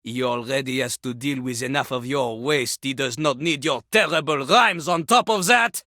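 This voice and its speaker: A woman's voice: very deep voice, normal speed, a bit bright, no room sound, clean studio sound. A woman voicing a vain, scornful French-accented toilet who fancies himself a rap master, in a theatrical video game performance with a pompous, dismissive manner. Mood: scornful, exasperated. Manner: pompous, speaks of himself in third person, dismissive.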